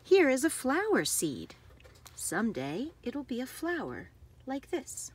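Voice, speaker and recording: Slow, lilting woman reading to children. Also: high-pitched, slight background noise. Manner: careful, expressive phrasing for young listeners. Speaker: children's book reader. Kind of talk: read-aloud narration for young children.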